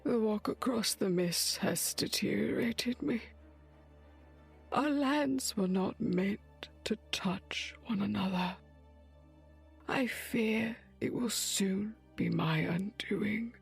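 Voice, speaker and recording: A woman playing a weary, dying foreign witch in a dark fantasy audio drama, grave and halting, with a husky, breathy voice that often sinks near a whisper. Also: medium pitch, faint music behind, heavily acted. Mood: weary, pleading.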